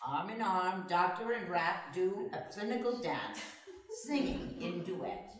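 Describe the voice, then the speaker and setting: A woman's voice: fairly low pitch, expressive, unhurried. A woman narrator reading stage directions in a scripted dramatic reading. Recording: very echoey room, distant mic, noise.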